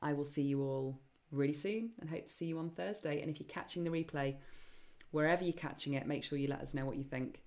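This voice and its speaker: A woman hosting a live online Q&A session, chatty and enthusiastic, with many ums and a voice getting croaky. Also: deep voice, quick pace, darkish tone. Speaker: webinar host and program founder.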